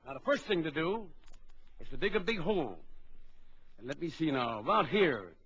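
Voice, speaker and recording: An actor playing a bold big-game trapper in an old children's adventure record, briskly instructing and giving orders. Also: male voice, on the high side, very animated, a bit bright, husky, exaggerated voice. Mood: confident, instructive. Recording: dull old record with background crowd noise.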